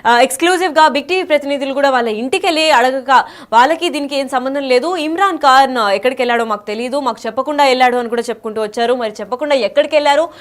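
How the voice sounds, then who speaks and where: Fast, high-pitched woman news anchor. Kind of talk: live news presenting.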